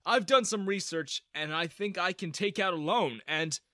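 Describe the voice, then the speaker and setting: A man's voice: high-pitched, moderate pace, bright, forceful tone, dry recording, clean studio sound, voice pushed up. A man voicing a determined, indignant eighteen-year-old in a narrated story. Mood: earnest. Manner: earnest, defiant.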